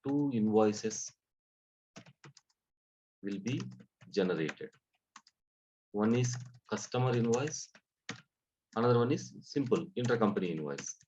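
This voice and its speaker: Male software trainer, lowish voice, halting explanatory delivery with repeated phrases, muffled lo-fi recording. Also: lively intonation, a little hiss or hum. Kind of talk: live training lesson, explanatory. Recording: dull, muffled lo-fi audio.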